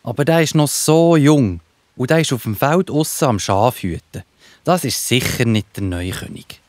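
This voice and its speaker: A man with a Swiss accent playing a proud, excitable father of many sons in a children's audio drama, theatrical and exclamatory. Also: mid-range voice, moderate pace, dry recording, close to an everyday voice. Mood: hesitant.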